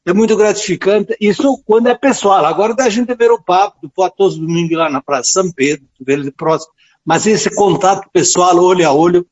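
An elderly man with a southern Brazilian accent speaks as a priest being interviewed. His voice is notably high for a man, and he reflects devoutly, often repeating words as he goes. The audio is slightly dull and lo-fi. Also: brisk, darkish tone. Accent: southern Brazilian, Rio Grande do Sul. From rural southern Brazil. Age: late 70s. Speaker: priest and friar, interview guest. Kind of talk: reflective personal storytelling in a radio interview.

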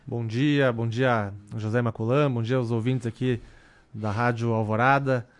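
A man in his thirties, a councilman, answering questions in a radio interview in a measured way, with many fillers and restarts. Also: mid-range voice, steady tone. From southern Brazil. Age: 30s. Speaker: city councilman, radio guest.

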